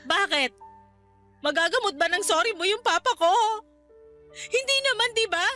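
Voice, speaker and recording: A woman's voice: extremely high pitch, lively intonation, normal speed, bright, forceful tone, soft background music, exaggerated voice. A woman playing a betrayed, proud young housemaid in a Filipino radio drama, tearful and reproachful, with emphatic delivery and a Filipino accent. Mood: cold, bitter.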